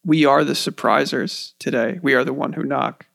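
Co-host, man, dry close mic, creaky and deadpan. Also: medium pitch, normal speed, no echo. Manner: wry, joking. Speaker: podcast co-host. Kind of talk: casual chat.

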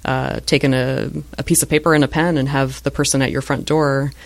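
Woman, 30s, low range, gravelly fry, thoughtful and chatty guest. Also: little pitch movement, a little fast. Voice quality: low-pitched voice. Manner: thoughtful, frequent fillers and restarts. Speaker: museum voice-over artist and guest. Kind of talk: casual interview answers.

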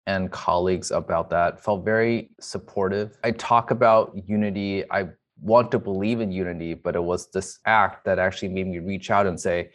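A man's voice: low-pitched, fairly even intonation, normal speed. A man giving a reflective, earnest personal account as an interview guest. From United States immigrant family from China. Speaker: guest, emergency physician.

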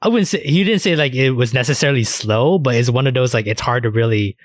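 A man's voice: medium pitch, fast, no room sound, clean studio sound. A man co-hosting a podcast, chatting casually about shows in informal, sweary language. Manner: profane, informal slang. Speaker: podcast co-host. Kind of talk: casual anime discussion chat.

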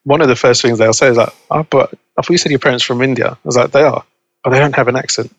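A young man as an interview guest, chatting casually and relaxed, laughing easily. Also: medium pitch, quick pace, dry recording. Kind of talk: casual conversation.

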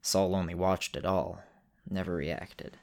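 A man with a creaky voice, speaking as the storyteller and host of an audio drama show. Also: deep voice, steady tone, a little slow, a little mellow. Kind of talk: narration and casual host talk.

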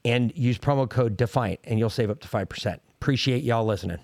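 A man's voice: mid-range voice, brisk, creaky, a little mellow. A man co-hosting a political podcast, talking heatedly, interrupting and swearing. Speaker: podcast co-host. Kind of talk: opinionated political talk.

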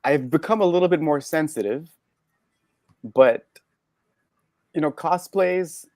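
A man's voice: on the high side, takes it slowly, darkish tone, no echo. Canadian man, close dry sound. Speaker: podcast guest. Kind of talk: candid personal storytelling in conversation.

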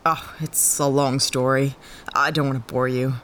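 A woman's voice: extremely low pitch, little pitch movement, normal speed, a bit bright, a little hiss or hum. A woman playing a worn-out ex-cop, candid and rueful. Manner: candid, rueful, self-deprecating. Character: weary, disillusioned former cop turned freelancer. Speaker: lead character. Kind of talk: audio drama acting.

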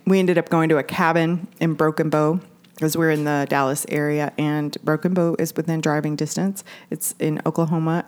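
An American woman in her forties chatting casually and candidly as a co-host, with some swearing. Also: low-pitched. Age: 40s. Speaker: podcast co-host. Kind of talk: casual chat.

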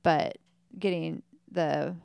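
A woman's voice: low-pitched, steady tone, slow pace, vocal fry, a little mellow, clean tone, dry recording. A woman in her thirties co-hosting a podcast with her husband, wry and teasing, with a small light voice. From Tennessee. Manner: wry, teasing, laughs often. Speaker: podcast co-host. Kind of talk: casual chat.

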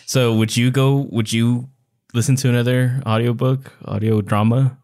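A man's voice: mid-range voice, fairly even intonation, moderate pace, darkish tone. A man co-hosting a podcast in casual chat, with a joking, teasing manner. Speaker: podcast co-host.

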